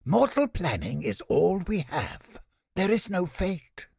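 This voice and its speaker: A cynical male inquisitor scholar for a video game, smug and theatrical. His voice is heavily creaky and husky, recorded close and dry. He speaks in formal, old-fashioned phrases with sardonic relish. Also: sits high, big pitch swings, darkish tone, no room sound, heavily acted. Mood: sardonic, disdainful. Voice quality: gravelly, husky, breathy edge. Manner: theatrical, deliberate, sardonic, formal archaic diction.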